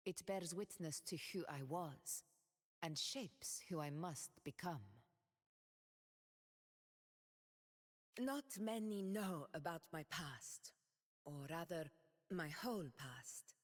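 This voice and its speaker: A woman voicing a proud noble former gladiator in a game, speaking in a measured, dignified way. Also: fairly low pitch, animated, in a large hall. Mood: solemn. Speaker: game character. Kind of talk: game character voice lines.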